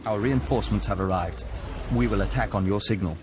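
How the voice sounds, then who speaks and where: A man voicing a calm, polite diplomat who speaks formally and evenly for an insect hive mind in a video game. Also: lowish voice, noisy background, close to an everyday voice. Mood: calm, matter-of-fact. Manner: formal, measured, speaks of himself as we.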